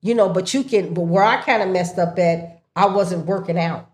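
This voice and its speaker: Woman, 60s, a bit low-pitched; animated guest storyteller. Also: moderate pace. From United States. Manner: animated storyteller, laughs often, acts out her family's voices. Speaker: podcast guest. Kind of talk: casual chat.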